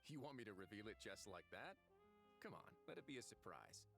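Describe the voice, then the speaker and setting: A laid-back duke in a video game, with a lowish voice. He is dry and wry, with a teasing lilt that rises and falls. Music runs underneath. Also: sing-song, brisk, gravelly. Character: calm, wry, easygoing duke who oversees a prison. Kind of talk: English video game voice acting.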